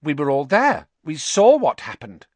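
A man's voice: on the high side, very animated, moderate pace, a bit bright, dry recording, voice pushed up. A man voicing a pompous, fearful schoolboy in an audiobook, dramatic and insistent. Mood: defiant, nervous. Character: pompous, fearful schoolboy spreading dark suspicions. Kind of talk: audiobook character acting.

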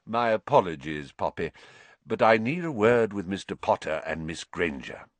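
A man voicing an elderly headmaster who speaks calmly, quietly and with measured authority. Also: mid-range voice, dry recording, pitched above the performer's own voice. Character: calm, wise elderly male headmaster.

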